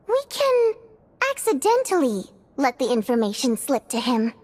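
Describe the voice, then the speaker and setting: A woman playing a bubbly, cunning young theater singer in a video game, expressive, chirpy and coaxing. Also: high voice, slight background noise. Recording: music under the voice.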